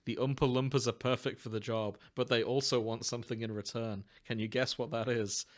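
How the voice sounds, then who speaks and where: A man's voice: medium pitch, level tone, brisk. A man hosting a podcast, chatting casually and comically with co-hosts in a jokey, giggly way. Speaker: podcast host. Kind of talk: casual chat and comic reading aloud with co-hosts.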